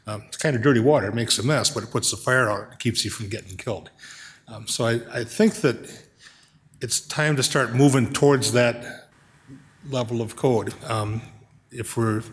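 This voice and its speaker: A middle-aged man speaking as a city council member, earnest and thinking aloud with many pauses and fillers, at a desk microphone in a council chamber. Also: medium pitch. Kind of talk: council meeting remarks.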